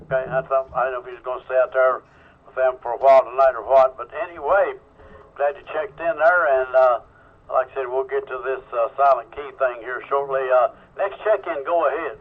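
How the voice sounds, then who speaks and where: A man's voice: mid-range voice, fairly even intonation, brisk. A man hosting an amateur radio net in a casual, folksy way as control operator. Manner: folksy, informal. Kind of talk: casual radio check-in chat. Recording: thin phone-like radio audio, webcam mic.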